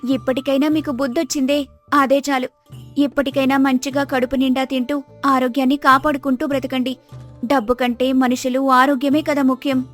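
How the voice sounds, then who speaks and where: A woman voicing a patient, wise, devoted village wife in a moral story, earnest and gently admonishing. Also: high-pitched, little pitch movement, a little fast, soft background music, lightly acted. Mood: consoling. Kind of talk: Telugu moral story character acting.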